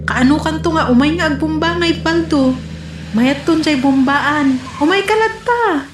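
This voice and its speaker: A woman's voice: high-pitched, measured pace. A woman playing a flirtatious, teasing village neighbour in an Ilocano comedy drama, with an Ilocano accent. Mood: inviting. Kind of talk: comedy radio-drama acting. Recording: echoey room, noticeable background noise.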